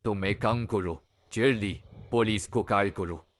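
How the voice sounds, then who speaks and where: A man playing a calm older elder in an animated moral story, deliberate and composed. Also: lowish voice, close to an everyday voice. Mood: reassuring.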